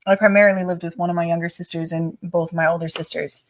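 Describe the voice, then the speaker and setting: Frank woman with a deepish voice. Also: level tone, fast, dry recording. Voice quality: deep voice for a woman. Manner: frank, laughs easily, lots of short agreements. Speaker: podcast co-host. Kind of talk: casual chat.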